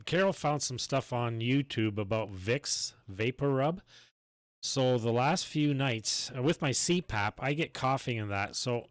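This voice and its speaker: A man chats casually as host of an amateur radio chat, plain-spoken and somewhat halting. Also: mid-range voice, no room sound. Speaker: amateur radio operator hosting a streamed chat.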